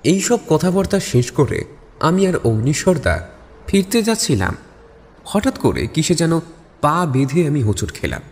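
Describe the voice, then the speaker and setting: An actor playing a detective's doubtful young sidekick, telling an audio story expressively. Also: male voice, a little high, big pitch swings, normal speed, a little mellow, slightly rough. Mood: narrating. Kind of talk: dramatic audio story narration and dialogue. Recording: reverberant voice over music bed.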